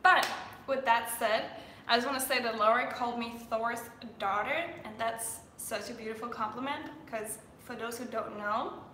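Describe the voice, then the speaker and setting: Young danish fitness girl rambling live, lots of likes, bright voice, faint hiss in the room. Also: on the high side, moderate pace, echoey room. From Denmark. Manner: chatty, filler-heavy, restarts often. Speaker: fitness coach. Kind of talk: live Q&A stream.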